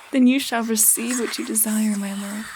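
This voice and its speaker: Slow, whispering sinister temptress, acted by a woman. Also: fairly high pitch, steady tone, noisy background, lightly acted. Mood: giddy, loving. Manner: slow, breathy, whispered seduction. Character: seductive, sinister supernatural temptress, giddy and predatory. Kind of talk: horror audio story acting.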